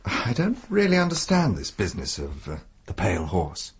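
A man's voice: deep voice, huge pitch swings, moderate pace, breathy edge, full character voice. An actor playing a wary, educated British man in a radio drama, speaking in a guarded, terse, hesitant way. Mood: uneasy, curious. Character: wary, reserved educated Englishman investigating a sinister scheme.

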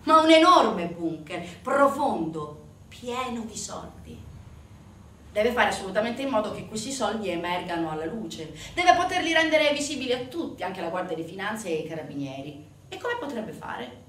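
A woman's voice: medium pitch, very animated, normal speed, fairly bright tone. An actress playing an indignant, sardonic storyteller in a theatrical monologue, putting emphatic rhetorical questions to her audience. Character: indignant, sardonic woman storyteller denouncing organized crime. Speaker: stage actress in a solo show. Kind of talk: theatrical narrative monologue on organized crime. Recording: roomy, distant stage recording, faint noise.

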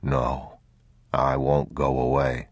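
A worn-out father murmurs comfort to his sick child, slow and hushed, almost whispering, as a man gives him voice. The voice sits very low and husky. It sounds dry and close. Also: dry recording, full character voice. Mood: soothing, hushed. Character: weary, frightened father soothing his son.

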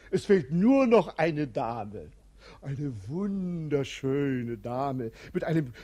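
Mocking witty jester-servant. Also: male voice, on the high side, dark tone, husky, heavily acted. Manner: playful, ironic, swinging delivery. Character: idle, witty male servant-companion, mocking joker. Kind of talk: 1950s radio play acting.

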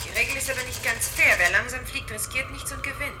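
A woman's voice: high voice, quick pace, over loud music, lightly acted. An actress playing a curious, spirited yet wary female companion in a German radio play.

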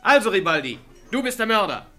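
A teasing young male student from a radio play, with music playing faintly behind him. His voice is bright and very lively in pitch, breezy and flippant as he needles his friends. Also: a little fast, full character voice. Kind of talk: German radio play acting.

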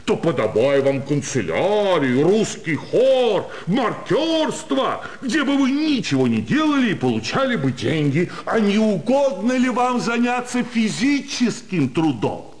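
An actor playing a self-righteous gentleman in a radio drama, scolding and moralising with pompous, emphatic indignation. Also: high-pitched, big pitch swings, measured pace, hardly any pauses. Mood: angry, scolding. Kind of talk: dramatised literary radio play in Russian.

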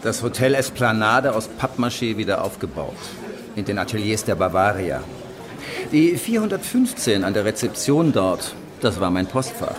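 A wry male writer narrating his diary. His voice is fairly low, dry and detached. The delivery is understated radio drama narration. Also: big pitch swings, normal speed, crowd noise.

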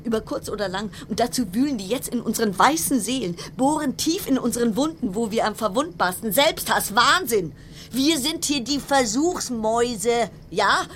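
An actress in a German radio play voicing a frayed, suspicious cruise ship passenger in a brooding monologue of broken-off, repeated phrases. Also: female voice, on the high side, a little hiss or hum, natural voice. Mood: agitated, paranoid. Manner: fragmented, broken-off sentences, repeating words. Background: music.

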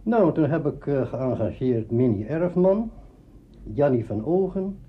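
An older man with a Netherlands Dutch accent recalls his radio work in a halting, conversational way. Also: on the high side, expressive. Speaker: radio drama pioneer recalling his career. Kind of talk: spoken reminiscence. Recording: dull, noisy archive interview recording.

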